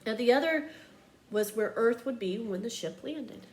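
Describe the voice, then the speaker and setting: A woman reading a children's picture book aloud like a teacher, with expressive, emphatic storytelling. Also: on the high side, normal speed.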